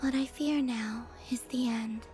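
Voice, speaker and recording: Young moon goddess , played by a woman: gentle, wistful, unhurried, breathy near-whisper, lightly high voice, video game dialogue. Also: fairly even intonation, soft background music, lightly acted. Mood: tender, confiding. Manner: slow, soft, often breathy near-whisper.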